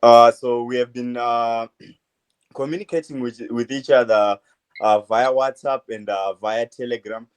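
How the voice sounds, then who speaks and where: A man in his twenties from Zimbabwe, a medical student answering interview questions in a halting way, full of uh fillers. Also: mid-range voice, fairly even intonation, clear, resonant tone. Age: 20s. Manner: hesitant, many uh fillers, halting phrases. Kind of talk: phone interview.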